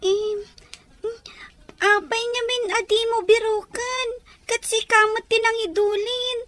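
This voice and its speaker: Put-upon young orphan girl, very high pitch, dragging delivery, Ilocano drama. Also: steady tone, slow pace, a bit bright, full character voice. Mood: meek, resigned. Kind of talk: Ilocano radio drama acting.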